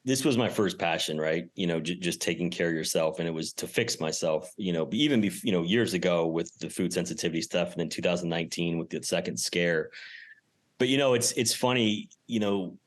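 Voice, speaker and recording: Deep-voiced man talking emphatically. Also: brisk. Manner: emphatic, informal, mild swearing. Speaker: podcast guest, real estate investor and business owner. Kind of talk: casual interview talk.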